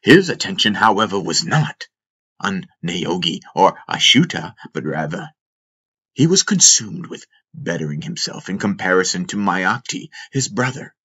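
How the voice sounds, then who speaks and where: A man with a low, bright and forceful voice narrates a fantasy audiobook. He tells it solemnly and deliberately, placing dramatic weight on key words. His delivery is very unhurried. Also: big pitch swings, slightly rough.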